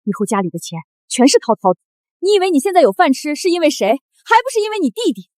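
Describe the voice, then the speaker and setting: Mean middle aged mom, high swoopy voice, cold and stingy, soapy short drama. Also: female voice, very animated, quick pace, darkish tone, no room sound, clean studio sound. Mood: dismissive. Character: cold, stingy middle-aged mother who dotes on her son. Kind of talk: melodramatic short-drama acting.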